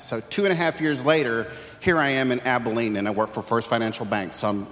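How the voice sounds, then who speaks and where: A man's voice: mid-range voice, fairly bright tone. A man from Texas sharing his personal testimony earnestly on a church panel. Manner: earnest, conversational. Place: church sanctuary. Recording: long hall reverb.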